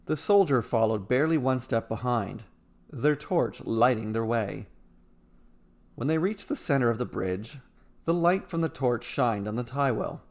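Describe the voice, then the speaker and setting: Medium-pitched man narrating a fantasy tale. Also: expressive, measured pace, darkish tone. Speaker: storyteller. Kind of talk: scripted fantasy story narration.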